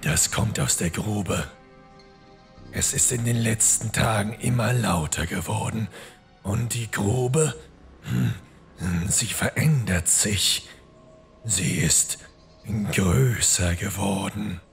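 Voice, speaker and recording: Low, gravelly goat-man creature. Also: soft background music. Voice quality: gravelly, husky. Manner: slow, cryptic, deliberate. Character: mysterious dry-witted male goat-man creature of the woods.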